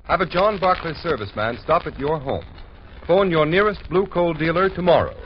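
A male announcer from vintage radio, fairly high in pitch and very animated. He is formal and emphatic, on a muffled recording with faint music. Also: moderate pace. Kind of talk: 1930s scripted radio announcing. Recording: muffled old-time radio broadcast.

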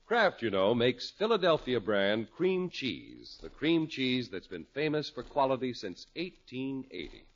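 A male announcer delivers scripted 1950s radio copy. His voice is husky and swings widely in pitch, on a dull old broadcast with faint music. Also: very animated, measured pace, hardly any pauses. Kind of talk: scripted 1950s radio narration and sponsor read.

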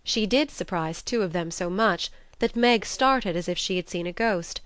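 Smooth, clear woman narrator. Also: mid-range voice, moderate pace, fairly bright tone, no echo. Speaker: audiobook narrator. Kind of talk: scripted literary narration.

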